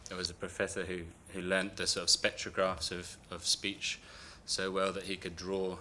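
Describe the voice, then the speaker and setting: A man in the audience with a very low, flat voice and a bright, forceful edge. He rambles and corrects himself, and faint noise sits behind him. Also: normal speed. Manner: rambling, loose, self-correcting. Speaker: audience member asking a question. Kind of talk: casual audience remark.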